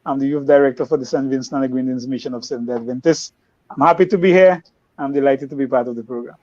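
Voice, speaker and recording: A man from Dominica who is a pastor, introducing himself in a cheerful, brisk way. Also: medium pitch, darkish tone, dry recording, lo-fi sound. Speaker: pastor, youth director.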